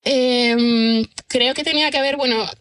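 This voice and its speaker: A chatty woman from talks easily as a podcast guest. Her voice sits a touch high and rings bright. She strings thoughts together with fillers and tag questions. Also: steady tone, unhurried. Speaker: guest writer. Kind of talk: relaxed podcast conversation.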